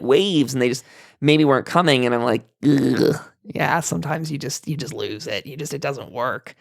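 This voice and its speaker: Guy hosting a gaming panel, kinda rambly, bit of vocal fry, really dry close sound. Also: fairly high pitch, no room sound. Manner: rambling, self-interrupting, hedging aloud. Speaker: podcast host moderating a roundtable. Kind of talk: casual panel discussion about gaming.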